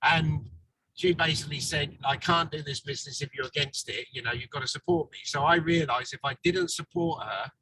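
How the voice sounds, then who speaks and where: A man's voice: on the high side, fairly quick. A man speaking bluntly and plainly over a video call while driving his car. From England. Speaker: guest's husband. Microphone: phone on a video call. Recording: distant, noisy car call.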